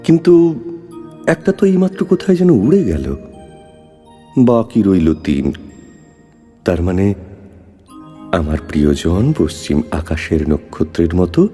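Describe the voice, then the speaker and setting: A man's voice: low-pitched, sing-song, a little slow, pauses often, dark tone. A man playing a lovelorn, brooding poet in an emotive recited verse dialogue, with theatrical phrasing. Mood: melancholy. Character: lovelorn male poet, wistful, yearning, brooding. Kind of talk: dramatic Bengali poetry recitation as phone dialogue. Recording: long reverb, music underneath.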